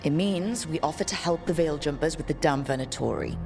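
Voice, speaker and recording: Low-voiced, resolute squad leader. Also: female voice, fairly quick. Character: determined woman leader of a band of heroes, steady and blunt. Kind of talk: video game cutscene acting. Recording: music behind the voice.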